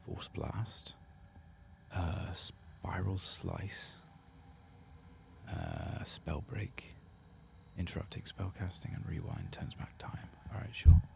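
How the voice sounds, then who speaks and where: Male ASMR streamer, soft murmured reading, half-whispered, very deep, creaky fry, close mic. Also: takes it slowly, long pauses. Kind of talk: soft-spoken, whispered ASMR game playthrough.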